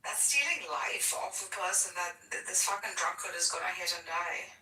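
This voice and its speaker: A woman's voice: lowish voice, expressive, normal speed, sharp tone, distant mic. A woman in casual chat, telling stories bluntly and swearing freely. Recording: roomy echo, off the mic.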